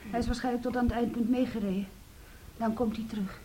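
An actress playing a defiant, highly strung and insistent young daughter in a radio play. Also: female voice, fairly even intonation, fast, dark tone, slight background noise, natural voice, rough recording. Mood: sullen, insistent. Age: young adult.

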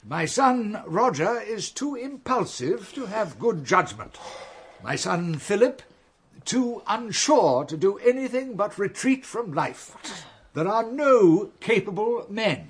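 Male family lawyer, radio drama: formal, blunt, high and lively in pitch. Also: slightly rough, exaggerated voice.

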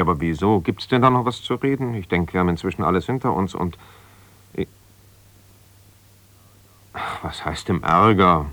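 A dry, close studio sound carries a bitter, self-righteous husband with a fairly low voice. He is a lawyer who argues down the phone, defensive, insistent and full of reproach. The delivery is naturalistic radio drama. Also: a bit bright, no room sound. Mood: cool, reproachful. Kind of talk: naturalistic German radio play, one side of a phone call.

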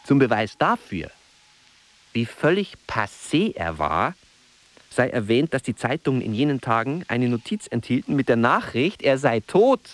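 An actor playing a brooding, self-mocking solitary writer in a musing radio drama monologue. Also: male voice, mid-range voice, a little hiss or hum. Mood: dry, grave.

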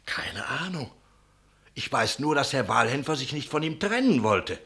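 Animated, anxious innkeeper. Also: male voice, mid-range voice, moderate pace. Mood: uneasy, explaining. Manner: deferential, dramatic storytelling. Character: anxious, talkative male innkeeper giving testimony. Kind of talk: German radio play acting.

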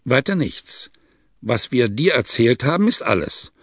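A man's voice: medium pitch, big pitch swings, unhurried, no echo. A man voicing a Bedouin tribesman giving a matter-of-fact report in an audiobook reading.

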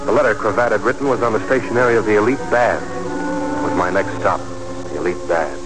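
Terse, wary noir lead and narrator male actor, lowish voice, brisk, 1940s radio broadcast with strong music. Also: close to an everyday voice, rough recording. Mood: grim, brisk. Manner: terse, clipped, wry. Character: hard-boiled, wary young man investigating, narrating his story.

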